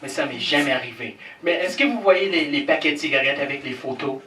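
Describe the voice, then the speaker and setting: A man's voice: fairly high pitch, animated, fairly quick, sharp tone. A male stand-up comedian whose first language is English, teasing the crowd with crude, swearing banter and admitting he is nervous. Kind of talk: stand-up set in a comedy club. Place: comedy club stage. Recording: echoey club from far off, noisy.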